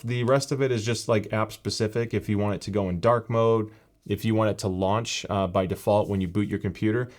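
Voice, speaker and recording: A man giving a casual, explanatory walkthrough of a headset's software, with frequent fillers. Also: lowish voice. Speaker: tech reviewer. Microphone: gaming headset mic in later part.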